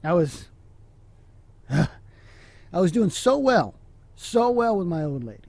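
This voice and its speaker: A man acting as a guilt-ridden drinking husband in an audio drama. His voice is husky and mellow, slightly high for a man, and he talks in a halting, downcast way. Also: very animated, no echo, heavily acted. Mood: despairing. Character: despondent, guilt-ridden alcoholic husband and father.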